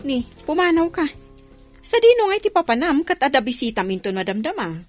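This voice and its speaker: An actress as a nagging older sister in a village radio play. Her voice is high and brisk, recorded dry with faint music behind it. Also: very animated, no echo. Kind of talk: Ilocano radio drama acting.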